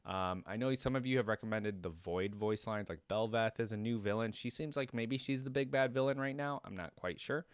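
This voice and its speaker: A man's voice: lowish voice, fairly quick, hardly any pauses, clear, resonant tone, no room sound, studio quality. A man hosting a reaction video, talking informally to viewers and thinking aloud in loose, rambling commentary. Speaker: YouTube reaction host.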